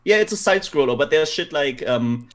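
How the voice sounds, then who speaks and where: A man's voice: a little high, expressive, moderate pace. A man co-hosting a gaming podcast, speaking very informally and swearing freely. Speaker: podcast co-host. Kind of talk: casual gaming podcast chat.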